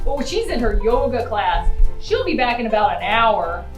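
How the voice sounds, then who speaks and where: A woman voicing a caring, fussy father in a children's puppet show, in a gentle, folksy, deliberate manner. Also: a little high, very animated, roomy sound, music in the background. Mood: kindly.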